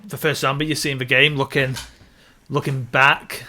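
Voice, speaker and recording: Bright-voiced man reminiscing casually. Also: medium pitch, little pitch movement, normal speed. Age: early 30s. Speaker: podcast co-host reviewing films.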